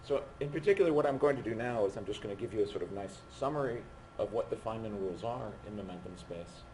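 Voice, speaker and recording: A man with a lowish, strained voice teaching physics while losing his voice. He talks haltingly, pausing and restarting as he works through the material. The recording is noisy, with a steady hiss behind him. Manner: halting, stopping mid-phrase to write. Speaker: physics lecturer. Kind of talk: university lecture at a board.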